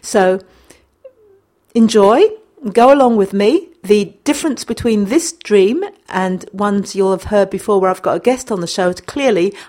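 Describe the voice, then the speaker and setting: A woman of middle pitch hosts a dream interpretation podcast. She talks in a steady, methodical way, laying out each step of her process before she reads a listener's email aloud. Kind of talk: solo podcast narration.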